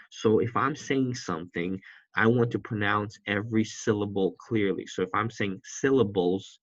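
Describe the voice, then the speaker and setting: A man's voice: on the low side, a bit bright, dry recording, highs cut off. A man teaching public speaking in a recorded lecture, talking conversationally from a seat and often restarting his sentences. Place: seated indoors, not in a classroom.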